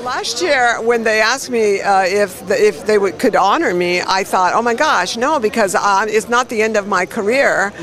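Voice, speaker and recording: A woman's voice: medium pitch, normal speed, hardly any pauses, fairly bright tone. A woman in her sixties, a film director, chatting in a self-mocking way on an outdoor red carpet. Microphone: handheld mic. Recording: busy crowd outdoors.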